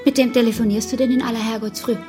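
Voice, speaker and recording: A nagging domestic partner in a radio play, acted by a woman. Her voice is fairly high, her manner scolding and matter-of-fact, with clear music behind her. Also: steady tone, racing, close to an everyday voice. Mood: chiding. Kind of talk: radio drama acting.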